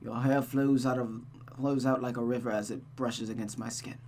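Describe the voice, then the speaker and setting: A reader voicing an anguished, brooding male lover from a visual novel in a slow, hushed, halting delivery, over a noisy recording. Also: medium pitch, steady tone, close to an everyday voice.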